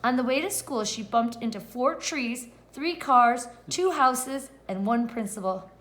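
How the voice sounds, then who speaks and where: A woman's voice: fairly high pitch, animated, a little slow, fairly bright tone. A woman kindergarten teacher reading a picture book aloud expressively and putting questions to the children. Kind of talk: read-aloud lesson for young children.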